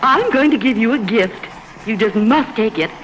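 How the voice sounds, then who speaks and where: A woman's voice: fairly high pitch, expressive, moderate pace, lightly acted. A woman playing a grateful, well-to-do married lady, effusive and fussy, in theatrical 1950s radio drama style. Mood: gushing. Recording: noisy old 1950s radio recording.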